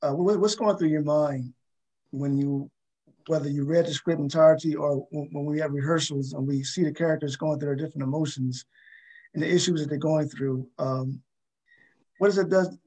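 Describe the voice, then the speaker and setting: A man hosting an unscripted panel interview, halting and often repeating his words. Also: a little high, warm tone, slightly rough. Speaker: talk show host interviewing a cast. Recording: dull, lo-fi sound.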